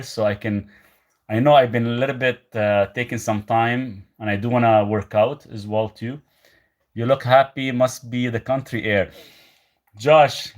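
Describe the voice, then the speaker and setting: Easygoing man from Lebanon on a livestream. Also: medium pitch. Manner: relaxed, rambling, reads comments aloud then answers. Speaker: live stream host answering health questions. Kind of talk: casual live chat reading viewer questions. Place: rural property, country home.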